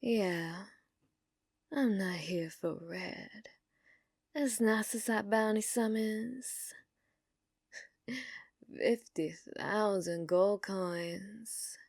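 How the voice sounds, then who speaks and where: A woman's voice: mid-range voice, very slow, pauses often, darkish tone, no room sound, clean studio sound. A woman playing a dominant, possessive female bounty hunter in an ASMR roleplay, taunting and sultry, often dropping into whispers. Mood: tender, possessive.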